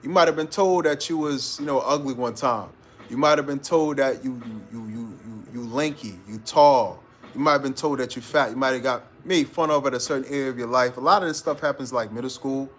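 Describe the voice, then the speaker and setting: A young man talks straight to his live-stream viewers in a loose, slangy way, calling them bro as he urges them on. His voice sits at an ordinary pitch, with soft music low behind him. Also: fairly quick. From New York. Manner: laid-back, slangy, calls listeners bro. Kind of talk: casual motivational live-stream chat.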